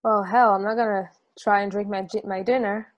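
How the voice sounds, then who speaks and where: A plainspoken, slightly guarded female traveler having a drink read by a young woman at a table read. She talks casually at a middle pitch, in a stage play read over a video call. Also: steady tone, a little fast, lo-fi sound, natural voice. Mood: casual, curious. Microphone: video call microphone.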